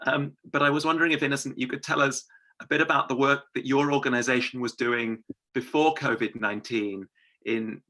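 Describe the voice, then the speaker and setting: A man's voice: medium pitch, sharp tone. A man moderating a seminar, courteously putting several questions at once to a guest. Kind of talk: interview questions.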